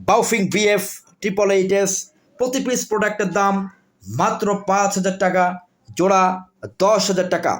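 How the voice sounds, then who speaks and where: A man with a Bangladeshi accent presenting products in a repetitive sales pitch.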